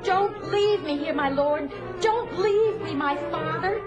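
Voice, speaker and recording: Very high-pitched imploring princess. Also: female voice, slow, lo-fi sound. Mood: frightened, pleading. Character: pleading young princess, frightened and devoted. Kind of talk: melodramatic audio drama acting. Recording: loud music behind the voice.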